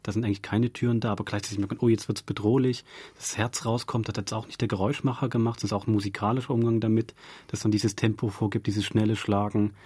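A man's voice: lowish voice, flat delivery, fast, darkish tone, husky. A man talking conversationally as a director interviewed in a radio studio.

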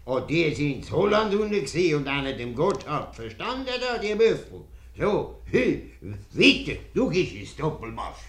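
Swaggering old male mercenary, excitable, rather high voice with big swoops, roomy radio-play sound. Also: normal speed. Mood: boastful, excited. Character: cocky old mercenary soldier, touchy and swaggering. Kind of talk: 1950s radio comedy acting.

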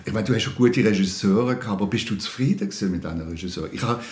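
An older man conducts a conversational interview. His voice is low and comes with noticeable room echo. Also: rapid-fire. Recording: echoey room sound.

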